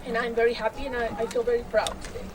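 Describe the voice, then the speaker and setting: A woman's voice: a little high, level tone, racing. A woman from Peru giving a fluent, earnest, rambling speech as a new citizen. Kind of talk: short public speech. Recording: background noise.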